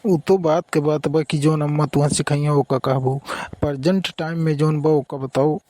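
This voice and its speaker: A man's voice: fairly high pitch, steady tone, normal speed, mellow, no echo, lightly acted. A man with a rural Awadhi accent voicing a young, plain-spoken village bridegroom in a cartoon comedy. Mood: correcting. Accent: Awadhi, rural northern India.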